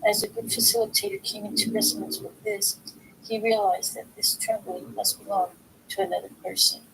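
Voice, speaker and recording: A woman reading a book aloud informally, in a halting way, sometimes stumbling over words and correcting herself. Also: mid-range voice, fairly even intonation, vocal fry, a bit bright, a little hiss or hum, rough recording. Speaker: reader of a book aloud, video host. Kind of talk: informal read-aloud of a self-help philosophy book.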